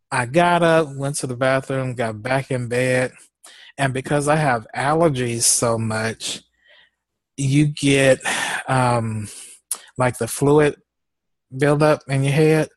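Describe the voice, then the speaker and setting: A man's voice: mid-range voice, no room sound. A man chatting casually as a co-host, rambling and laughing often, sounding congested, speaking. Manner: slow, drawn-out talk. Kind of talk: casual chat.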